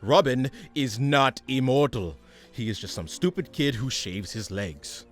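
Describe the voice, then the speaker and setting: A man's voice: medium pitch, animated, measured pace, faint music behind, natural voice. A man playing a pompous, exasperated supervillain chairing a meeting in a comedic radio play, haughty and sarcastic. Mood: irritated.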